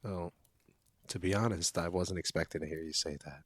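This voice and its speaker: A man's voice: deep voice, fairly even intonation, normal speed, slightly rough, no echo. A man playing a caring, protective young college friend in an intimate, one-sided audio roleplay, speaking in an earnest, conversational way. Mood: surprised, relieved.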